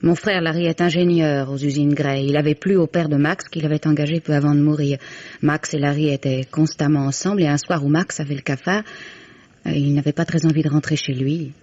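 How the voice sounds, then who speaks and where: An actress playing a composed, candid young mistress in 1950s French radio drama, with measured, formal diction. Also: female voice, low voice, steady tone, dark tone, dry recording. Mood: composed, confiding. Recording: dull, band-limited vintage radio recording.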